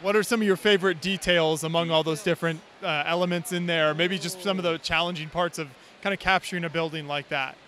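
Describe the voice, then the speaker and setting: A male interviewer with a high, bright voice steers the talk with encouraging questions. He speaks on the floor of a large convention hall. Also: steady tone, fast talker, hardly any pauses, slight background noise. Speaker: interviewer, channel host.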